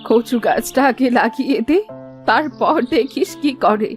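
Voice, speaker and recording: A woman's voice: a little high, lively intonation, measured pace. A woman playing an affectionate, grateful old village auntie in a cartoon fairy tale, effusive and folksy. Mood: kindly, insistent. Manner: effusive, folksy, motherly way of addressing younger people. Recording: faint music behind.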